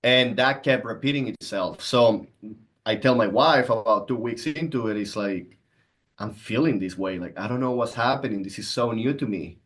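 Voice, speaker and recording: Man, thirties, mid-pitched voice, conversational storytelling, podcast guest. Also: moderate pace, fairly bright tone. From Venezuela. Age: 30s. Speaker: podcast guest, entrepreneur and coach. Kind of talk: conversational personal storytelling and explanation.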